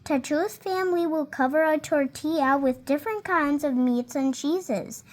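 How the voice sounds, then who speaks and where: A young reader slowly and carefully reading a picture book aloud, now and then stumbling on a word and fixing it. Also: female voice, high-pitched. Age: child. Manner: slow careful reading with small slips and self-corrections.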